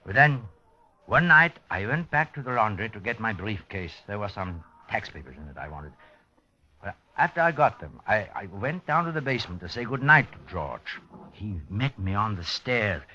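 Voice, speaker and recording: A man's voice: lowish voice, sing-song, moderate pace, pushed and bright, exaggerated voice. An actor playing an uneasy, cooperative businessman who speaks hesitantly, in 1950s radio drama style. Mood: shaken, haunted. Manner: hesitant, stammering. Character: cooperative male laundry owner, uneasy and haunted. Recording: dull 1950s radio recording.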